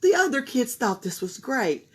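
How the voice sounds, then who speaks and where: A woman reading a children's picture book aloud for a library, slow and expressive. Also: fairly high pitch. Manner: slow, expressive, breathy storytelling. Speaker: library storyteller.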